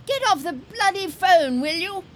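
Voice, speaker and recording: A cantankerous elderly lady in a comedy radio play, high-pitched and slow in her delivery. She is hurt, bossy and full of complaint, groaning and scolding, with clear noise behind her. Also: lively intonation, fairly bright tone, heavily acted. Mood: cranky, scolding. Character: crotchety injured elderly woman, bossy and complaining. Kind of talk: audio comedy drama acting.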